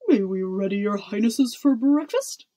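A woman's voice: on the low side, a little slow, vocal fry, breathy edge, voice pushed down, exaggerated voice. A woman voicing a deferential, easily flustered head footman in a storybook, fussy and obsequious. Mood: purring. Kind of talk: storybook character voice.

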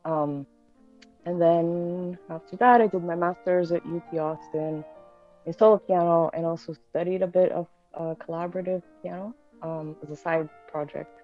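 Low-voiced Bulgarian-born woman on a tinny call. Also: fairly even intonation, normal speed, soft background music. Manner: chatty, many fillers and restarts. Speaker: guest pianist. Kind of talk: casual interview chat. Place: home room indoors. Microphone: video call. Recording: tinny video call audio.

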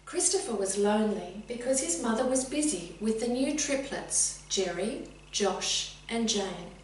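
A middle-aged woman reading a children's story expressively and deliberately, addressing young listeners directly. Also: a little high, lively intonation. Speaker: teacher reading a picture book. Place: indoors, sitting room with bookcase. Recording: very echoey room, distant mic, faint hum.

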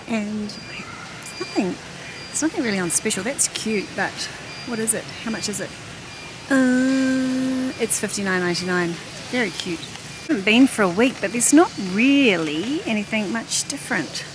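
A woman talks to her phone camera as she wheels through a big warehouse store. She chats casually and reads out prices to herself along the way. Her voice sits at an everyday middle pitch, with clear store noise behind her. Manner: chatty, reading out prices to herself. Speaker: vlogger. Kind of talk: shop-with-me vlog narration. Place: large warehouse store, indoors. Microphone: handheld phone camera. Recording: noisy warehouse store ambience. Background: store ambience.

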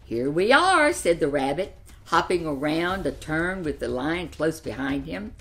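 An older woman in her seventies reading a children's picture book aloud in an expressive storytelling style. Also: sits low, unhurried. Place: furnished living room, seated in an armchair.

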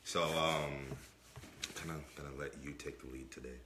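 A young man with a very low, forceful voice co-hosts a casual podcast. He sounds slightly under the weather and repeats points for emphasis. The room around him is echoey. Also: steady tone, moderate pace, slight background noise. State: under the weather, voice not fully clear. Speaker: podcast co-host. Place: indoor room with brick and wood-panel wall.

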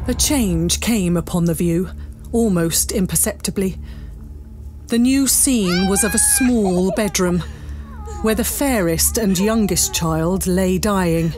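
A woman, narrating a story in a dramatic storybook style. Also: medium pitch.

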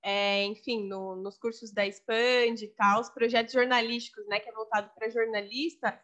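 Higher-voiced woman hosting a podcast. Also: moderate pace, dull audio. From Brazil. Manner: friendly, expressive, asks long questions. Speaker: podcast host. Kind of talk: casual video-call interview. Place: home room with bookshelves. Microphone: large studio mic in frame.

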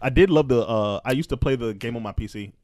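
A man's voice: medium pitch, fast, darkish tone, no echo. A man bantering casually and crudely on a group podcast, repeating phrases for emphasis, close on the mic. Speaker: podcast host. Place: small room at home, around a table. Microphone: close podcast microphone.

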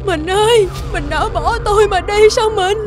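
A high-voiced, distressed pleading wife. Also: female voice, normal speed. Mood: despairing. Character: frightened, tearful wife pleading with her husband. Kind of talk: animated moral tale dubbing. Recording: faint music behind the dialogue.

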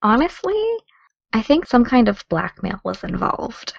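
A creaky-voiced teenage girl acted by a woman leads an investigation in an audio drama. She talks briskly and with dry sarcasm. The sound is close, dry and slightly dulled. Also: on the high side, very animated, no room sound, muffled recording, exaggerated voice. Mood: focused, matter-of-fact. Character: determined, sardonic teenage girl podcaster investigating a case. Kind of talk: naturalistic audio drama dialogue.

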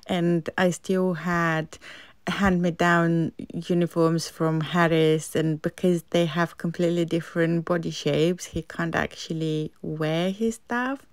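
A woman's voice: on the low side, fairly even intonation, slow, no echo. A woman in her thirties from the UK, a mum of three, chatting candidly in a home vlog voice-over about her worries and chores. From United Kingdom. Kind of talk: casual voice-over narration.

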